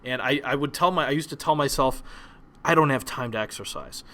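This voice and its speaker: A young man whose first language is Polish, giving a relaxed presentation lesson with frequent ums and restarts. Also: medium pitch, fairly quick. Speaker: teacher.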